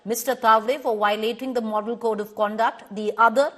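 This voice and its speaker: A woman news anchor with an Indian English accent, moderating a TV debate in a firm, measured way and correcting guests. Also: on the high side, steady tone, fairly bright tone.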